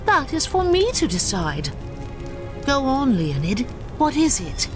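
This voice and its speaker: A resolute elf warrior. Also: female voice, sits high, huge pitch swings, exaggerated voice. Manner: formal, determined, measured speech. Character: resolute elf warrior woman, noble and driven. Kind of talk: game cutscene voice acting. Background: orchestral game music.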